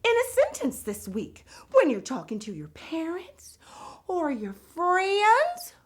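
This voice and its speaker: A woman playing an exuberant, giggly, theatrical lady vocabulary teacher on a children's show, with whoops and drawn-out emphasis. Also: very high-pitched, wildly swooping pitch, voice pushed up, full character voice. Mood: gleeful. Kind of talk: children's show word segment.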